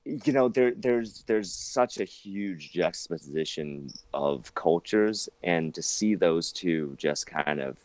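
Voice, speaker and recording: Very low-pitched man, actor guest, rambling interview talk, restarts, dry close sound. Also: slow pace, few pauses, no echo. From southern China. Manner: rambling, many restarts, animated pitch jumps.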